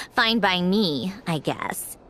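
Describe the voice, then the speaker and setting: A woman voicing a haughty, teasing young woman of high rank in a video game, sardonic and aloof. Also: animated, extremely slow, fairly bright tone, a little hiss or hum, exaggerated voice. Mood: aloof, composed. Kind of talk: video game cutscene acting.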